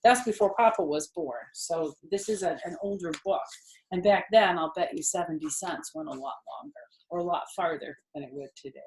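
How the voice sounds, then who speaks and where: A woman's voice: lowish voice, lively intonation, brisk. An older woman reads a children's book aloud expressively, in a grandmotherly way, with chatty explanations for young listeners. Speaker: storyteller reading to children. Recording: echoey room sound.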